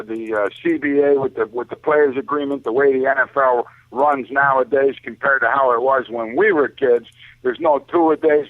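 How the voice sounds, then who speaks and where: A man's voice: medium pitch, dry recording. Man on a tinny phone line. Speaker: call-in guest on sports talk show. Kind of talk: casual sports talk. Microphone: telephone.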